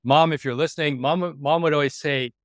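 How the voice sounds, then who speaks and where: Animated man in his forties, dry sound. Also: on the high side, fairly quick, dry recording. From New York City, USA. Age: 40s. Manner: animated, self-interrupting, with frequent restarts and sudden emphatic jumps. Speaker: podcast host. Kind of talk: personal reflective monologue.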